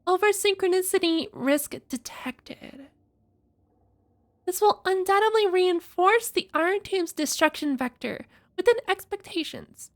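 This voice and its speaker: Casting: female game streamer, extremely high voice, conversational, theorizing and reading aloud as she reacts. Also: lively intonation, a little slow. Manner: thinking out loud, conversational.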